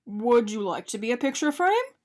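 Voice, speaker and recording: A fussy but kindly storybook man, acted by a woman, pitched high with plenty of movement in the melody. He is polite and matter-of-fact, like a proper gentleman explaining himself. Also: big pitch swings, moderate pace. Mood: hopeful. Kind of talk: animated picture-book character reading.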